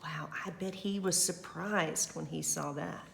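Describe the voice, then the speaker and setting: A woman reading a picture book aloud as a school librarian, expressive and affectionate, with chatty, encouraging asides to young children. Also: sits low, unhurried, vocal fry, a little hiss or hum.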